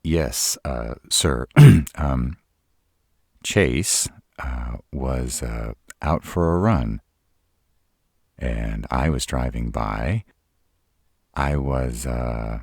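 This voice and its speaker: Guy doing a flustered sarcastic vampire, low voice, stammery n exasperated, dry close sound, roleplay. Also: drawn-out words, long pauses, darkish tone, dry recording, exaggerated voice. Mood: nervous. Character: nervous, sarcastic male vampire, flustered suitor. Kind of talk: roleplay audio acting.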